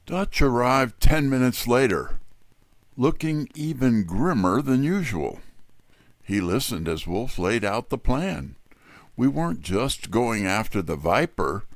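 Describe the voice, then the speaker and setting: An actor playing a wry, streetwise detective's assistant, narrating a hardboiled mystery in the first person with a dry manner. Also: male voice, medium pitch, sing-song, slow pace, no room sound. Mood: dry, noirish.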